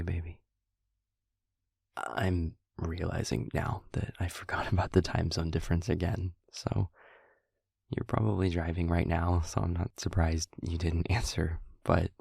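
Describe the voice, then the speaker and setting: A man acts out a lovesick boyfriend leaving a voicemail in an audio roleplay. His voice is deep and heavily creaky, very husky and breathy. He speaks softly and haltingly, full of tender affection. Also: flat delivery, no echo, studio quality, full character voice. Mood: tender, wistful. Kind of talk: intimate audio roleplay acting.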